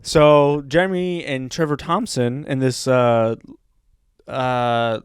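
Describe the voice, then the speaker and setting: A man's voice: medium pitch, steady tone, slow pace, clean tone. A man co-hosting a podcast in casual chat, laid-back, swearing casually and laughing often. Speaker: podcast co-host.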